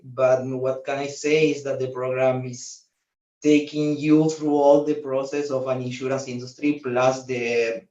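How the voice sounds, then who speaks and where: A young man joins over a video call, halting and searching for his words as he describes his work. His voice sounds far from the mic in an echoey office, with dull, muffled audio. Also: mid-range voice. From Chile. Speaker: alumnus guest. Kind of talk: casual remote testimonial.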